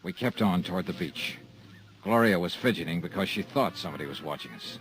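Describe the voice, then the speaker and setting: Male lead, lowish voice, hard-boiled and sardonic, vintage radio narration. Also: expressive, a little fast, fairly bright tone, soft background music, low-quality recording. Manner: dry, wisecracking, hard-boiled. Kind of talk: 1950s radio drama narration and acting.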